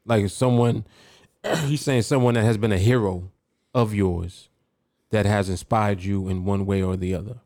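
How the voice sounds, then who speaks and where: Low-voiced laid-back man host. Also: steady tone, moderate pace, a little mellow, no echo, clean studio sound. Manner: laid-back, joking, reads questions aloud. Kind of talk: casual chat reading viewer questions.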